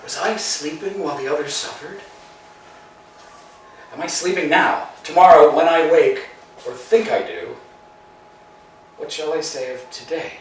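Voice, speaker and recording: An actor playing an anxious, philosophizing tramp in a staged reading, swinging from hushed musing to emphatic outbursts. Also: male voice, fairly high pitch, unhurried, pauses often, close to an everyday voice. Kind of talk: amateur staged play reading. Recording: echoey room, distant mic.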